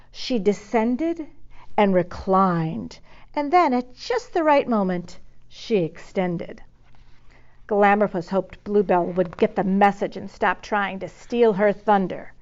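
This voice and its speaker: Expressive woman reading a storybook. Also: medium pitch, unhurried, lo-fi sound. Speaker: storybook reader. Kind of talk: expressive children's story narration.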